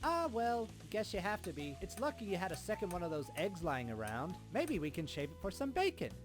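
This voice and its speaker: A performer acts out a clueless, crude little boy from a comedy cartoon dub. The delivery is lively and swooping, full of rambling deadpan asides, with music underneath. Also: mid-range voice, fairly quick, lightly acted. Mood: chatty, clueless. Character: young boy narrating, crude, dim, cheerfully clueless. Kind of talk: comedic fan-dub cartoon narration and dialogue.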